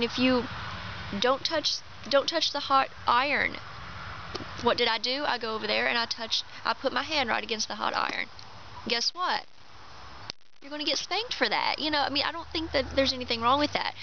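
A chatty young woman in her twenties with a Kentucky Southern accent, her high voice swinging widely and dropping into a gravelly fry. Filmed outdoors on a camera mic, the sound is dull and lo-fi with clear outdoor noise behind her. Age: 20s. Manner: chatty and animated, laughs often. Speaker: vlogger. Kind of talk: casual opinion vlog. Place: outdoors in a pine wood. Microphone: camera mic, head-and-shoulders framing.

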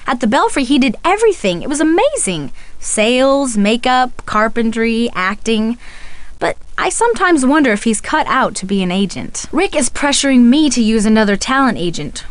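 Casting: friendly young American soap starlet for an adventure game, highish voice, earnest and expressive, dry close sound. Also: female voice, no room sound. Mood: confiding. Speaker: video game character voice actor. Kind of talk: scripted game dialogue.

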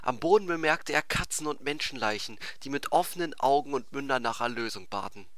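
A man's voice: mid-range voice, animated, a little fast, a bit bright, dry recording. A man narrating a comic fantasy tale in a theatrical, emphatic scripted style. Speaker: storyteller.

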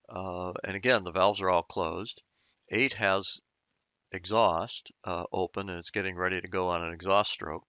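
A man's voice: fairly low pitch, pushed and bright, smooth, dry recording, muffled recording. A man explaining engine diagrams in an unscripted voice-over, thoughtful and hesitant with many fillers and restarts. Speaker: channel host explaining engine repair.

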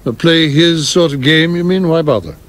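An actor in a radio play as a cautious, scholarly theater producer, measured and formal, with a dry wit. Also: male voice, on the high side, a bit bright, close to an everyday voice. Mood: defensive. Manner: measured, literal, dryly witty, formal wording.

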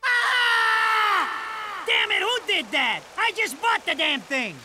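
A man's voice: very high-pitched, expressive, slow pace, raised voice, crowd noise, background noise, full character voice. A man playing a frantic, indignant, whiny small-time crook yelping protests in a video game cutscene. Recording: game audio with music behind.

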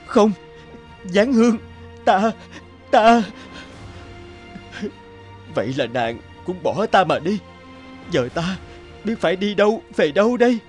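A homesick young scholar from a cartoon folktale, speaks in a fairly high voice. He is earnest and courteous, often hesitant, with faint music behind him. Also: sing-song, slow, long pauses, darkish tone, full character voice. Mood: anguished. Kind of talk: animated folktale voice acting.

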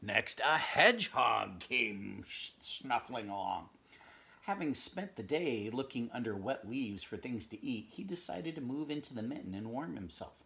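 A middle-aged man reading a picture book aloud at home, expressive, pausing to chat about the pictures and dropping into whispers. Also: mid-range voice, vocal fry. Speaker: read-aloud storyteller. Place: home room, indoors.

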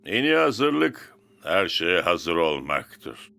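A forceful, wise football coach. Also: male voice, low voice, very animated, extremely slow, gravelly, dry recording, exaggerated voice. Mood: assured. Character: calm, wise male football team coach. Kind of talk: animated cartoon dub.

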